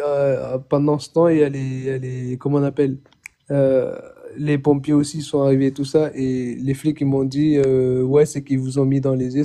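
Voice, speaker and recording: A young man from Chad tells his story on a call-in live stream. He has a rather high, very bright voice. He hesitates, repeats himself and keeps swearing that he is telling the truth. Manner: halting, repeats words, often says "je vous jure". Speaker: caller. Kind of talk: phoned-in personal testimony.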